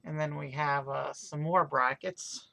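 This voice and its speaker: Hoarse raspy guy chatting through a build, gravelly and bright. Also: a little high, measured pace. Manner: relaxed, rambling, many fillers. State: hoarse from overuse. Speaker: streamer building a toy car set. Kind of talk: casual live-stream commentary.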